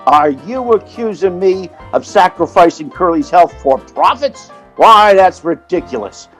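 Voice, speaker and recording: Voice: mid-range voice, lively intonation, unhurried, soft background music. A performer playing a brash, colloquial theatrical agent who narrates and acts in an old-time radio comedy.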